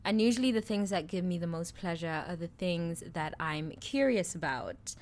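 A woman's voice: on the low side, expressive, moderate pace. Young south african woman on a podcast, blunt and crude, laughing a lot, easy loose chat. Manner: candid and crude, laughs often. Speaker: podcast co-host. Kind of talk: casual chat.

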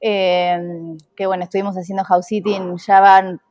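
An Argentine woman talks casually as a podcast guest, friendly and quick to laugh. Her middle-pitched voice is recorded dry and close, with no room sound. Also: flat delivery, a little mellow, no echo. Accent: Argentine Spanish. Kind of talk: casual interview chat.

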